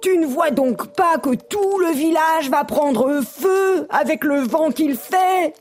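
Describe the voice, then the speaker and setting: A woman's voice: very high-pitched, very slow, a little mellow, soft background music, voice pushed up, full character voice. A woman voicing a panicked female frog in a storytelling tale, with urgent, alarmed delivery.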